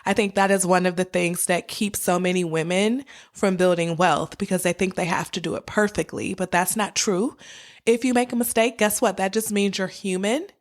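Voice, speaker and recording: A woman hosting a podcast as a conversational, encouraging coach, her voice a little raspy from a cold. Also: mid-range voice, fairly even intonation, normal speed. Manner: encouraging, direct address to listeners. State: has a cold with cough and sore throat. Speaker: podcast host. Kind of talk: personal finance podcast monologue, conversational coaching.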